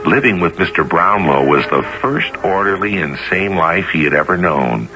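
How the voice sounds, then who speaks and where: A man hosting a radio drama, reading scripted narration in a measured, theatrical way. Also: deep voice, lively intonation, noisy background. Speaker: host and narrator. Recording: dull lo-fi radio recording. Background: music.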